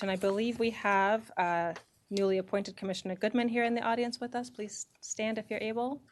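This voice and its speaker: A woman mayor chairing a city council meeting, calling speakers and reading procedural rules politely. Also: mid-range voice.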